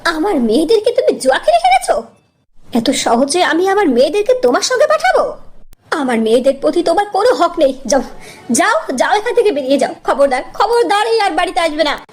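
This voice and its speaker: A woman's voice: very high voice, big pitch swings, a little fast. A woman voicing a fiercely protective, hard-pressed mother of five in a cartoon, with an emphatic, dramatic delivery. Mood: furious, disgusted. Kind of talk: cartoon voice acting.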